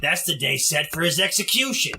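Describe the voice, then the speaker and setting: An actor playing an offhand, callous prison guard in a retro radio drama. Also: male voice, fairly high pitch, expressive, a little fast, sharp tone, slight background noise, full character voice. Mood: casual, callous. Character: offhand prison guard dismissing a condemned man.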